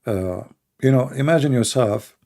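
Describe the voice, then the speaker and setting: A man's voice: medium pitch, a little slow, vocal fry, darkish tone. A middle-aged man with an Arabic accent, a native Arabic speaker, talking casually and bluntly while answering questions, with many fillers and restarts. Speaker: livestream debate host.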